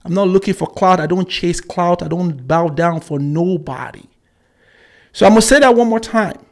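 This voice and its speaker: A man in his forties hosting a live stream, combative and repetitive, with frequent fillers and pauses. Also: high-pitched. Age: 40s. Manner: combative, repeats himself for emphasis, frequent fillers. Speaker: channel host.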